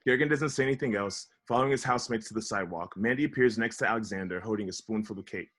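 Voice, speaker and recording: A man's voice: fairly low pitch, rapid-fire, fairly bright tone. A man reading stage directions as scripted narration for a table read. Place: recorded remotely at home on a video call.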